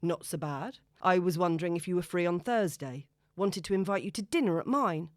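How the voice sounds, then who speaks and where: A woman voicing a smooth, scheming man in an audiobook, casual, charming and falsely modest. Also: on the low side, a little fast, no room sound, clean studio sound, natural voice. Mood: smooth, inviting.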